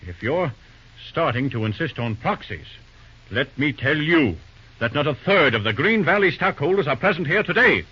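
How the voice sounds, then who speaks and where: A man's voice: a little high, very animated, moderate pace, sharp tone, slightly rough, full character voice. An actor playing a pompous, cocksure American businessman who blusters and flusters easily in an old-time radio drama. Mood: defiant, cocky. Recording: dull, lo-fi old radio recording.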